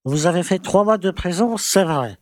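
A man's voice: sits high, animated, moderate pace, darkish tone, no echo. Man acting a pompous small-town mayor, dry sound. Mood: haughty, insistent. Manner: pompous, patronizing. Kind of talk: audio drama acting.

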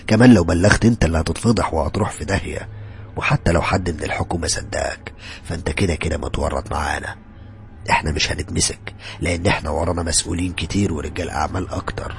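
A cold agent of a criminal brotherhood, played by a male storyteller with an Egyptian accent. He threatens with controlled condescension in a deep, creaky voice over faint music.

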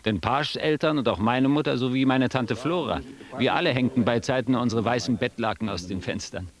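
An actor playing a wry, ironic first-person narrator looking back in a literary radio drama. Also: male voice, mid-range voice, brisk, over a noisy crowd, natural voice. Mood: ironic, reminiscing. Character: male first-person narrator, wry reminiscing friend of Pasch.

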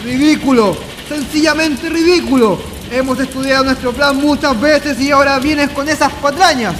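A man's voice: extremely high pitch, moderate pace. A Chilean man acting a brooding, scornful young intellectual in a radio drama. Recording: noisy, long reverberant tail.

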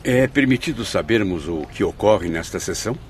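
An actor playing an inquisitive, awed newcomer to the afterlife in a Brazilian radio drama, speaking formally. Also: male voice, on the low side, animated, normal speed, slight background noise, lightly acted. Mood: curious. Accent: Brazilian Portuguese. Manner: formal, curious.

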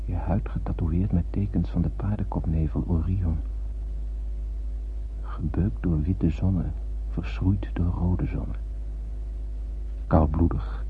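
Casting: wondering space voyager telling his tale, lowish pitch, solemn, thin old broadcast sound. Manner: solemn, lyrical, reflective. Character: earnest, wondering young spacefarer narrator. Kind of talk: radio drama narration and acting. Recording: tinny old radio recording, faint hum.

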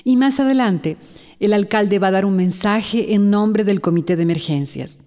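A woman with a Costa Rican accent playing an emergency committee doctor giving calm, measured advice in a radio drama. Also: mid-range voice, long reverb tail, close to an everyday voice.

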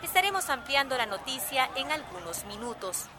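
A woman's voice: sits high, sing-song, moderate pace, a crowd in the background. A woman playing a composed field reporter in a radio drama, giving a scripted report from the street. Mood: composed, formal.

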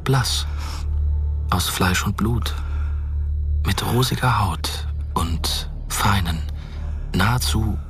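A man narrating a German radio drama in a deliberate, scripted literary style with short, weighty phrases. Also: on the low side, slight background noise, full character voice. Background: loud music.

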